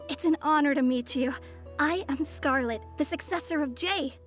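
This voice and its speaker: A high, composed voice from an actress playing a mysterious traveler from abroad in a video game. She speaks smoothly and with a teasing calm, over faint game music. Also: normal speed, natural voice. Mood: sly.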